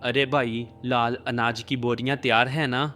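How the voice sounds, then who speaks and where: A man's voice: medium pitch, level tone, normal speed, bright, forceful tone, natural voice. A man voicing a landlord's fretful, nagging clerk in a Hindi village cartoon story. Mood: concerned, insistent. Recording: background music under the voice.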